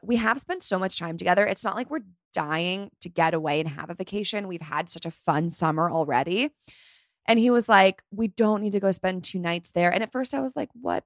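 A young woman hosts her podcast in a relaxed, chatty way, full of fillers and quick asides. Her voice sits a little low for a woman. The sound is dry and close, with no room around it. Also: no echo. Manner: chatty, bubbly, lots of "like". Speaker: podcast host. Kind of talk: casual solo podcast chat.

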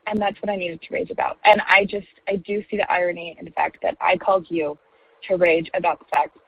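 A woman's voice: fairly low pitch, little pitch movement, brisk, creaky, slightly rough, no room sound, phone-quality sound. A woman caller ranting in a self-aware way in a voicemail.

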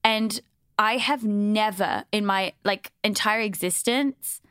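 A woman's voice: medium pitch, slow pace, fairly bright tone, clear, resonant tone, no echo, clean studio sound. A young woman from Switzerland chatting casually as a podcast guest, blunt and opinionated, swearing freely. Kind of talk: casual chat.

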